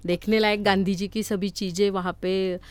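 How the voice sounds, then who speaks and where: A woman from Maharashtra with a Marathi accent, giving casual, somewhat halting answers as an interview guest. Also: medium pitch, flat delivery, smooth, no echo. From Maharashtra, India.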